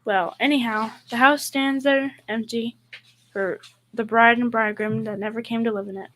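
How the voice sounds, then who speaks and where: Girl playing a high-voiced, chatty sitcom wife. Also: fairly even intonation, normal speed, fairly bright tone, close to an everyday voice, low-quality recording. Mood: wistful gossip. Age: young teen. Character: calm, gossipy, caring wife in radio sitcom. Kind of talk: school performance of old-time radio comedy.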